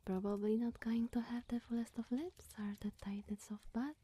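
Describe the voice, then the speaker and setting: A woman voicing a sweet, bashful, scatterbrained young witch in a soft, partly whispered ASMR roleplay, coy and rambling with musing hums. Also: on the high side, steady tone, moderate pace. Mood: sheepish, confessing. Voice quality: soft, breathy.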